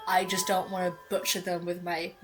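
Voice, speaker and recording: A woman's voice: lowish voice, monotone, moderate pace, fairly bright tone, soft background music. A woman with a British accent, chatting casually and self-deprecatingly while playing a game. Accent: British English. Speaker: gaming streamer. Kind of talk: casual let's-play commentary.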